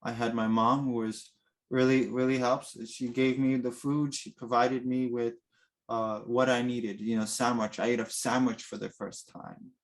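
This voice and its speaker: A man's voice: mid-range voice, level tone, normal speed. A man whose first language is Russian, telling his own adoption story in an earnest, reflective interview. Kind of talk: personal interview.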